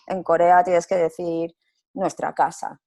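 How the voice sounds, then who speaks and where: Spanish woman chatting in an interview, lowish really mellow relaxed voice, expressive. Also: a little slow. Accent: Spanish, from Spain. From Spain. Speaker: interview guest, intercultural facilitator. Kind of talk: casual interview conversation.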